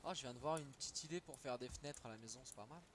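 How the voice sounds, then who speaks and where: A man's voice: mid-range voice, rapid-fire, slight background noise. A young man streaming a game, chatting casually and swearing freely in slangy talk. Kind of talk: casual gaming chat.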